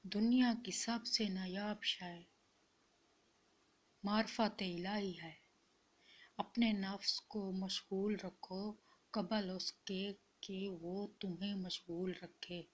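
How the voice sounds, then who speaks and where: A woman reading spiritual quotes as scripted narration, in a measured and deliberate manner. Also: mid-range voice, steady tone.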